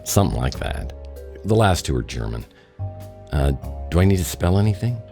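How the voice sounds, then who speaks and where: An actor playing a wisecracking, self-deprecating private detective in a noir radio drama, with a deadpan delivery. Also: male voice, sits low, normal speed, faint music behind. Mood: casual, angling.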